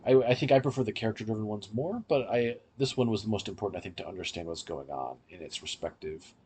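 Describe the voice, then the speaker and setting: A man's voice: on the low side, quick pace, darkish tone, husky. A man hosting a podcast, giving an explanatory, opinionated review in casual discussion. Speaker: podcast host.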